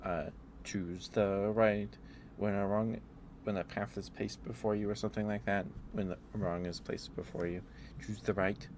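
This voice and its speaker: Casting: male ASMR streamer, low register, halting off-the-cuff rambler, noisy ambient backdrop. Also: fairly even intonation, darkish tone. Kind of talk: rambling casual ASMR chat. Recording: clearly audible background noise.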